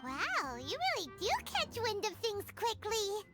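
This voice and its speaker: A woman voicing a small, excitable, childlike fairy companion in a video game, squeaky and exclamatory. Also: measured pace, exaggerated voice. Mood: surprised, cheerful. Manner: speaks of self in third person, exclamatory. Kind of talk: animated game character acting. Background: prominent music.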